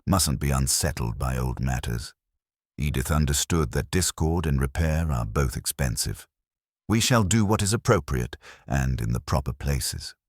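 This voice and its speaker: A man playing a pompous town alderman in a mystery story, self-important, evasive and smooth in manner. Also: a bass voice, lively intonation, moderate pace, no echo, studio quality.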